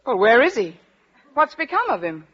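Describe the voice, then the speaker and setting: An American woman in an old radio comedy, playing a sardonic English teacher who delivers dry wisecracks with crisp timing. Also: fairly high pitch, very animated, normal speed, a bit bright. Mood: nervous, sardonic. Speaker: lead actor in a radio comedy. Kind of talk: 1940s-50s American radio comedy acting. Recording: dull, faintly noisy old radio recording.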